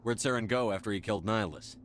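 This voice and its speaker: A man's voice: lowish voice, quick pace, natural voice. A man playing a firm, no-nonsense military commander in a video game, speaking in clipped, commanding phrases. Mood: firm, urgent. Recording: loud background noise and ambience.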